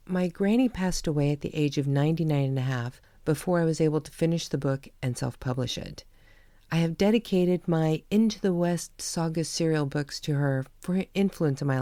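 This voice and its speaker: A woman's voice: low-pitched, normal speed, mellow, no room sound. A woman hosting her own podcast, talking candidly in a chatty way and laughing at herself. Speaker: podcast host and author. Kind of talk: personal podcast monologue.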